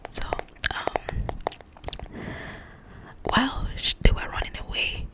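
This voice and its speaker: A woman whispering in an ASMR style as she casually chats about a book in a rambling, animated, informal way. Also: takes it slowly. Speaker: ASMR creator reviewing a book.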